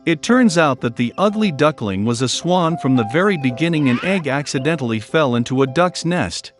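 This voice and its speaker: A man narrating a children's fairy tale in a gentle, evenly paced storytelling manner. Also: fairly high pitch, lively intonation, normal speed, music in the background.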